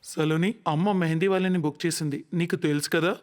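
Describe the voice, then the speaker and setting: A man playing a gentle young bridegroom in a cartoon story, in a reverberant recording. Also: a little high, fairly even intonation, fast, lightly acted. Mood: friendly. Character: young bridegroom phoning his fiancée, gentle.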